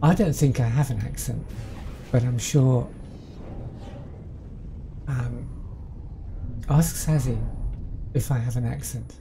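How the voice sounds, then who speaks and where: Southern english lady streaming a game, chatty and rambly, kinda fry-ish dark voice. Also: very deep voice, slow pace, long pauses, a little hiss or hum, low-quality recording. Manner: chatty, rambling, with frequent ums. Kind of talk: casual let's-play commentary.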